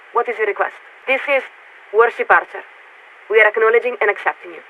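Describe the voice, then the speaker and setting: A woman playing a polite, guarded young warship radio signaler in a video game, speaking in formal, measured official diction through a radio filter. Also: medium pitch. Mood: formal, businesslike. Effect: radio transmission filter. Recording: tinny narrowband radio with static noise.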